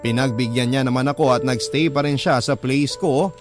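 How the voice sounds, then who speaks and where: A man's voice: mid-range voice, steady tone, normal speed, close to an everyday voice. A man playing a heartbroken, devoted boyfriend who tells his story in a rueful, confiding radio narration. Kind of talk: dramatic first-person radio narration. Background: music.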